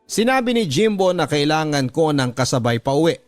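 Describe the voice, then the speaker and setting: A man with a Filipino accent reading a dramatic first-person story as a radio drama narrator, measured and earnest. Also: on the high side, very animated. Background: background music.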